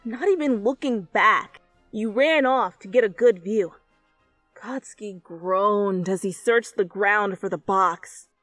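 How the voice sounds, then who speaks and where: A woman reading fan fiction as an expressive, dramatic narrator who swears freely. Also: mid-range voice, slow pace, no echo. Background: soft music.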